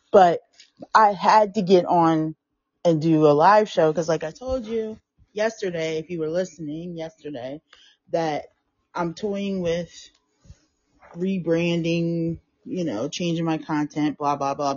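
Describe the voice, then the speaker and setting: A woman hosting her own talk show, speaking casually and rambling, with a hoarse, froggy throat. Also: on the low side, measured pace, darkish tone, lo-fi sound. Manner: chatty, rambling, repeats and restarts phrases. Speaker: podcast host. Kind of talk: casual solo talk on mindset and manifestation.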